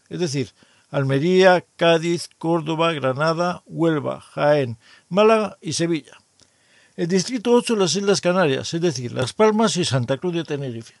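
A man from hosting an amateur radio show, reading out districts and zones in a measured, even way, then interviewing a guest. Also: fairly high pitch, dry recording. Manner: measured, reads lists of places evenly. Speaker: host of an amateur radio program. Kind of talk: scripted explainer then interview.

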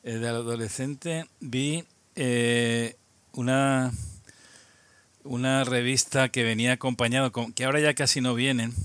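A man in his sixties from Albacete with a Spain Spanish accent, a guest looking back on his past, speaking haltingly with frequent restarts. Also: mid-range voice, fairly even intonation, fairly bright tone, smooth, no room sound. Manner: halting, many restarts and repeated words, reflective. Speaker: guest, amateur radio operator. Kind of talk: casual interview, reminiscing.

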